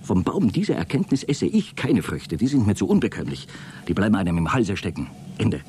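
An actor playing an aggrieved, suspicious space traveller dictating a pompous, wordy log in a radio drama. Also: male voice, fairly low pitch, big pitch swings, fairly quick, background noise. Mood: defiant, indignant. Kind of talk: radio drama monologue, intimate log entry.

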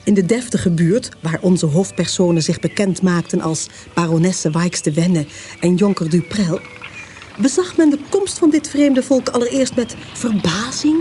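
A woman narrating a radio play in a measured storytelling manner. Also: on the low side, warm tone, a little hiss or hum.

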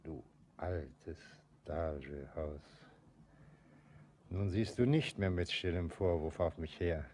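A man's voice: sits low, slow pace, warm tone, slight background noise. An actor playing a brooding, sentimental ageing father and mine master in a German radio play, declamatory and lyrical. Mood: moved, proud.

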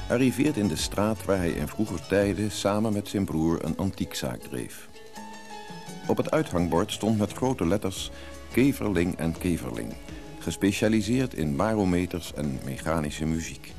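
Male narrator for a radio serial, low register, light music underneath. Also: expressive, measured pace, a little mellow. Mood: measured narration. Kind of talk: scripted radio drama narration.

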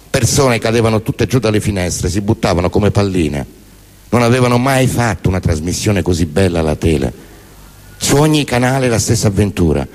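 A man performs theatrical spoken word as a stage monologue, in a deadpan, declamatory manner. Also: on the low side. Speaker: stage performer reciting poems and prose monologues.

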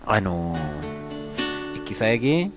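A man's voice: fairly low pitch, sing-song, takes it slowly. A man with a Chilean accent co-hosting a podcast, chatting casually and opinionated about music, joking and laughing often. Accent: Chilean Spanish. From San Antonio, Chile. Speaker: podcast co-host.

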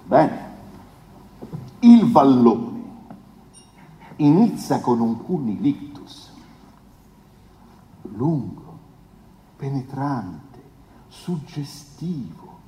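An actor playing a pompous male defence lawyer in a 1970s trial, pleading with grand, insinuating rhetoric and dramatic pauses in a theatrical stage drama. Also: medium pitch, very animated, mellow. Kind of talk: theatrical courtroom oration. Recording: echoey reverberant hall, noisy background.

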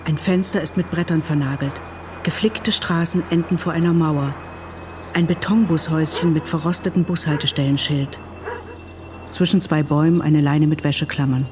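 A woman's voice: low-pitched, background noise. A woman narrating an audio play, reading scene directions in clipped, descriptive phrases.

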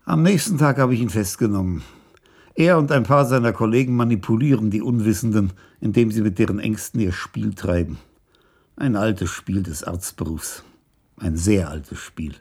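Husky, wry senior police chief. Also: male voice, lowish voice, normal speed, a little mellow. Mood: wry, reflective. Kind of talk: German radio crime drama acting.

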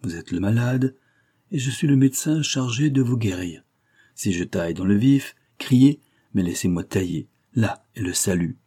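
A man voicing a brisk, shrewd male mentor in an audiobook, emphatic and peremptory with a wry, jovial streak. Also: medium pitch, expressive, mellow, slightly rough, voice pushed up. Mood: commanding. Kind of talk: audiobook character dialogue.

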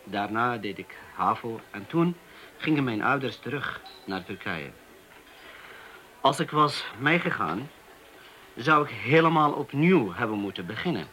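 A man's voice: medium pitch, expressive, pushed and bright, rough recording. A man playing an earnest young Turkish waiter. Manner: earnest, halting with hesitations. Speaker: Turkish waiter in a police interview. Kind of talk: radio play acting.

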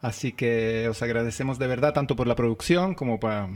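A man's voice: mid-range voice, a little fast, darkish tone. Polite male radio host. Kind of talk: closing an interview.

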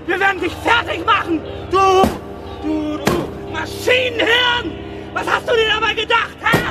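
A man playing a furious alien warmonger in a radio play, screaming abuse. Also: extremely high pitch, normal speed. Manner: screaming, abusive. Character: furious shrieking alien warmonger berating a machine. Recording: drowned in loud music and crowd.

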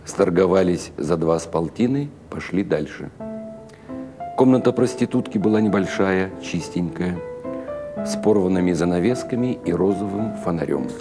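A man's voice: sits low, lively intonation, normal speed, music in the background. A man narrating classic literary short fiction in a scripted, dramatic reading.

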